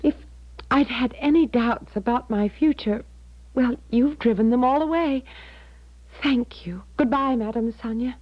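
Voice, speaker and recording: A high, girlish young fiancée. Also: measured pace. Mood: grateful, elated. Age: young adult. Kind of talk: 1970s radio drama acting. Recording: dull old radio broadcast recording.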